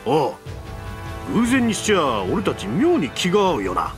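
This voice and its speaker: An actor playing a burly, brash, friendly warrior in a video game, with rough, boisterous colloquial speech. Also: male voice, on the high side, wildly swooping pitch, measured pace, music in the background. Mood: hearty, brash. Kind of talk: video game cutscene voice acting.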